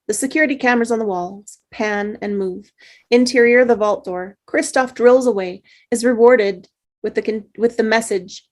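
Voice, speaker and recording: Woman reading screenplay directions aloud. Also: mid-range voice. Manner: steady reading with small slips and restarts. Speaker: narrator reading stage directions. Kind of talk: table read of a screenplay.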